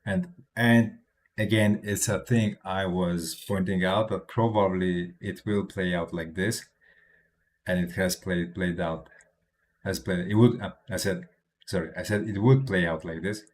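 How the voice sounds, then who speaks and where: A man with a low, deep voice talks through charts for a live audience in English that is not his first language. His delivery stumbles and restarts often, tagged with "right?", and loosened by small chuckles. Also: steady tone. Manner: halting, with frequent restarts and tag "right?"; chuckles between points. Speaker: crypto trading streamer. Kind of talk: casual livestream chart commentary.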